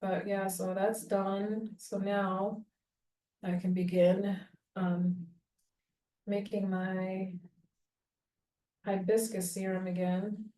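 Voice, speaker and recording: A woman in her thirties chatting casually while doing her hair, meandering and self-deprecating, thinking out loud as she goes. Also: medium pitch, little pitch movement, slow, pauses often, a little hiss or hum. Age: 30s. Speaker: small-business vlogger. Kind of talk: rambling casual chat. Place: home bathroom. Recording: echoey bathroom, voice far from mic.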